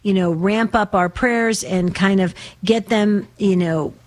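A woman hosting a religious radio call-in show in a chatty, earnest, informal way, with a slightly raspy voice. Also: mid-range voice, steady tone, slow pace, a little mellow.

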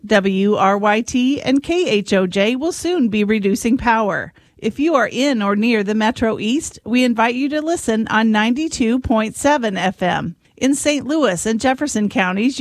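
A woman announcer reading a scripted station notice in a clear, measured way. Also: a little high, no echo, studio quality.

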